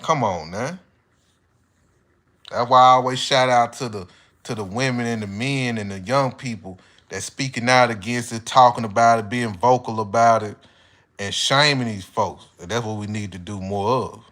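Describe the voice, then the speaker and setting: A man's voice: mid-range voice, moderate pace, fairly bright tone. An American man giving a casual, opinionated monologue as an online commentator, speaking bluntly with heavy slang and swearing. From United States.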